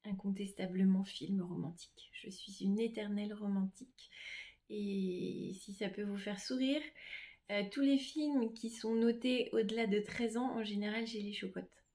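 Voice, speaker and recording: A middle-aged woman, a reflexologist, talking casually about herself to her clients in a gentle, hesitant way with many pauses. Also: medium pitch, steady tone. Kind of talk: casual self-presentation to clients.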